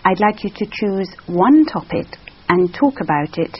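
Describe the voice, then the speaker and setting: Woman, examiner, animated pitch, measured and encouraging, muffled lo-fi recording. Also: breathy edge. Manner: measured, encouraging with frequent backchannels. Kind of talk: structured interview questions. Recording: dull lo-fi sound.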